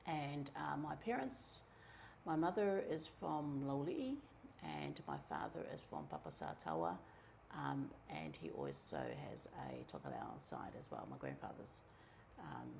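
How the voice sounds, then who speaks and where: A middle-aged woman from New Zealand with a New Zealand accent, telling her own story in a relaxed, chatty way, with many ums and asides. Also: extremely low pitch, unhurried, vocal fry, a little hiss or hum. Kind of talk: casual personal storytelling.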